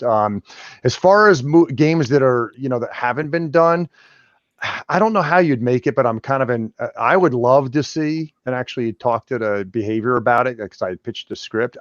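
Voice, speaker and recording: A man's voice: medium pitch, normal speed, no room sound. A man calling in to a show as a guest, telling anecdotes casually in a blunt, opinionated way. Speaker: guest caller, horror movie writer. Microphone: internet call. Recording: dull, lo-fi call audio.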